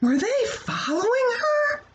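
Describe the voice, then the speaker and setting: A woman reading a picture book aloud to children in an expressive, playful way, with asides to her young listeners. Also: extremely high pitch, slow, lo-fi sound, a little hiss or hum. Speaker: children's storybook reader.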